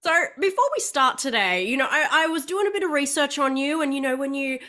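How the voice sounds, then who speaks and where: A young woman hosts a relaxed interview with a bright, crisp tone and a slightly high voice. She is chatty and breaks into laughter easily. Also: fairly quick. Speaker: host. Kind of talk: casual video-call interview.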